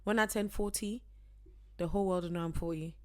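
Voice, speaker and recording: A woman in her thirties co-hosting a podcast with her husband, chatting in a relaxed way and laughing easily. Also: mid-range voice, a little fast. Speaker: podcast co-host. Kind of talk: casual chat.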